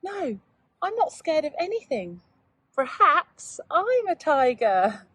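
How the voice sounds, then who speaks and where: A woman's voice: high-pitched, very animated, slow, a bit bright, no echo, pitched above the performer's own voice, exaggerated voice. A woman voicing an earnest, childlike little boy in a children's storybook read aloud. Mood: proud, wondering. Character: little boy Tom, timid at first, then sleepily brave.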